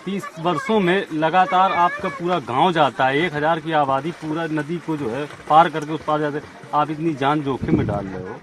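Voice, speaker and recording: A man's voice: on the high side, normal speed, hardly any pauses, fairly bright tone. A man working as a field reporter, putting questions to villagers on location. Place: outdoors in a village. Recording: noisy outdoor field recording.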